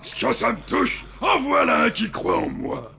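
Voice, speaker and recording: A man's voice: very high voice, huge pitch swings, normal speed, vocal fry, noisy background, full character voice. An actor voicing a theatrical, gushing bogeyman monster in a French cartoon dub, with exaggerated exclamations. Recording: cartoon dub with background music.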